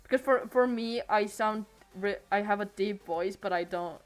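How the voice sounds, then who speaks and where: A woman's voice: medium pitch, moderate pace, faint music behind. A teenage girl streaming from home on a desk microphone, chatting casually and hesitantly, trailing off and restarting her sentences. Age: late teens. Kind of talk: casual rambling stream chat.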